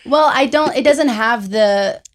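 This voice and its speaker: A young adult woman on a panel, defending her view in a measured, casual debating style. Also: on the high side.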